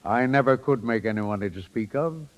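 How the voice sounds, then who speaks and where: A man's voice: medium pitch, moderate pace, close to an everyday voice. An actor playing a fatherly, reflective older man in the style of 1930s radio drama. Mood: wistful, reflective. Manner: gentle, philosophical, reflective. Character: wistful, fatherly older man advising his son-in-law.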